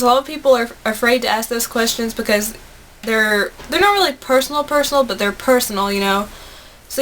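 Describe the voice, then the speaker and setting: A woman in her twenties with an American accent speaks in a somewhat high voice. She chats casually to her viewers as a video blogger, earnest and a bit rambling. There is some noise in the homemade recording. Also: a bit bright. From United States. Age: 20s. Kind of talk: casual chat to camera.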